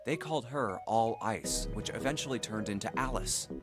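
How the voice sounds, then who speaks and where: An actor playing a curious young man in a video game, eager and thinking out loud. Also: medium pitch, normal speed, over music, lightly acted. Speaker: voiced game protagonist.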